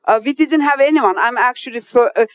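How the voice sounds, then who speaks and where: A Turkish woman in her fifties with a Turkish accent, a non-native English speaker giving a formal speech to an audience. She speaks haltingly, with many hesitations, restarts and loose grammar. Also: fairly high pitch, dry recording. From Turkey. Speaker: guest speaker at an inauguration.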